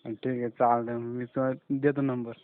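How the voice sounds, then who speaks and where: A man's voice: medium pitch, flat delivery, normal speed. Young man on a tinny phone line. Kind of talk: casual phone chat with a friend. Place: phone call. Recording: narrow, tinny phone call recording.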